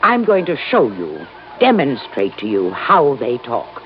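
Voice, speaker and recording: A woman's voice: very deep voice, huge pitch swings, a little slow, heavily acted. An actress playing an elderly, eccentric woman professor in an old time radio drama, brusque, commanding and theatrical. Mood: proud, insistent. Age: older. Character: imperious, eccentric elderly woman professor devoted to her dolphins. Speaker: scientist, professor. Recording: dull, noisy old radio recording.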